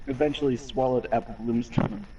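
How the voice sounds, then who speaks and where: A man's voice: medium pitch, fairly quick, over a noisy crowd. Man reading aloud, swearing in disbelief. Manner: exclaims and swears mid-reading, breaks into laughter. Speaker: reader of the fanfic. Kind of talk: reading a story aloud with reactions. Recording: dull lo-fi mic with steady noise.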